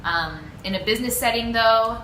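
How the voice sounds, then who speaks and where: A woman's voice: fairly high pitch, moderate pace, bright, forceful tone. A young woman from Peru answering interview questions in a chatty way, full of ums and likes. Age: young adult. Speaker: student interviewee. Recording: echoey room with clear background noise.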